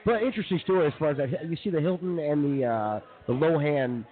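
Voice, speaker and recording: A man's voice: fairly high pitch, fast talker. A man co-hosting a radio show and casually recounting news stories, with a rambling, hesitant delivery. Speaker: co-host, police news segment. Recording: tinny phone-like sound.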